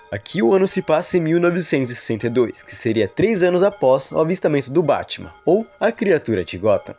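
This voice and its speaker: A man's voice: a little high, darkish tone. A man with a Brazilian accent narrating an explanatory video analysis in a wordy, explainer manner.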